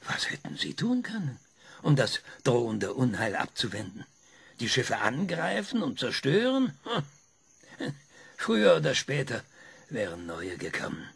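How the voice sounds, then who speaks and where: An actor playing a sardonic former researcher in a radio play, lecturing with impatient insistence. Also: male voice, mid-range voice, wildly swooping pitch, a little slow, creaky, fairly bright tone, slightly rough, heavily acted. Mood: insistent. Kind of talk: German radio play acting.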